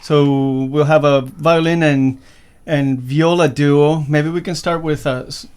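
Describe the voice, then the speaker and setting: A man from Venezuela, a guest violinist, chatting casually in an interview, with many pauses and fillers. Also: on the high side, fairly even intonation, a little mellow, smooth. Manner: halting, many fillers and restarts.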